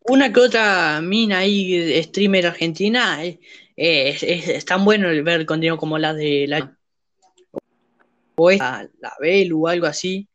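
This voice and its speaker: A young man chats casually on a podcast in a fairly high voice. He rambles, breaks off and restarts his sentences, and often searches for names. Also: a bit bright, dull audio. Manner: rambling, halting, many restarts. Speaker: podcast host. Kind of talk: casual chat.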